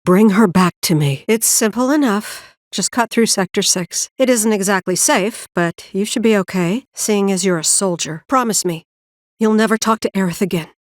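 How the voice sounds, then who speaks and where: A woman playing a weary, protective middle-aged mother in a video game, speaking in an earnest, measured, maternal way. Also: medium pitch, no echo, clean studio sound, lightly acted. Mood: tired, pleading. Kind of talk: naturalistic video game voice acting.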